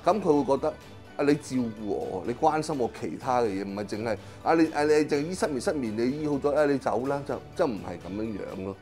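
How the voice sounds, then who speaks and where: A middle-aged man with a Hong Kong Cantonese accent, a Chinese medicine practitioner explaining his work in an interview, pausing often with drawn-out fillers. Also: medium pitch, animated, music in the background. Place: small clinic consulting room.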